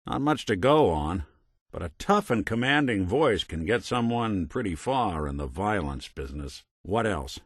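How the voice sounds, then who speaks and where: A man's voice: on the low side, animated, moderate pace, husky, no room sound, studio quality. A man playing a world-weary android private detective in a video game, tough but kind, with wry, clipped hardboiled phrasing. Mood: businesslike, probing. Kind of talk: noir detective game dialogue.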